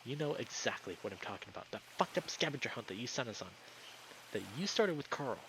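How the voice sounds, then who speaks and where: A man voicing an enraged, accusing male animal character in a visual novel, shouting and swearing in confrontation. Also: mid-range voice, sing-song, fast, background noise. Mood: angry, accusing.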